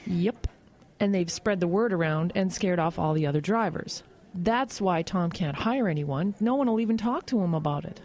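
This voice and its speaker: An actress playing a friendly, overworked small-town office worker in an audio drama, talking in a hesitant, hedging, conversational way. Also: female voice, fairly low pitch, expressive, moderate pace, darkish tone, close to an everyday voice. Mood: reluctant, confiding.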